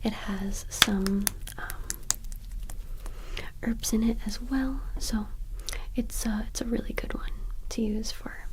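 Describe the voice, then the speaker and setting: A woman whispering softly in an ASMR roleplay as a friendly witch, explaining things in a gentle, informal, hesitant way. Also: on the high side, steady tone, slow. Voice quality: soft, breathy whisper. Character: friendly modern witch offering a cleansing ritual. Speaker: ASMR performer role-playing a friendly witch shopkeeper.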